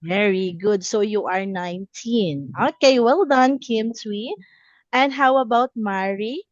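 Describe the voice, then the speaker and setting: A woman's voice: mid-range voice, slow pace, no echo, dull audio. A woman in her thirties teaching a simple English lesson, encouraging, repeating words and checking understanding. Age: 30s.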